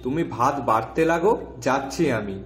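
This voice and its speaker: A man playing a mild, placating village husband in a cartoon, gentle and coaxing. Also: medium pitch, expressive, normal speed, lightly acted. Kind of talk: Bengali fairy tale cartoon dubbing. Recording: echoey room, music underneath.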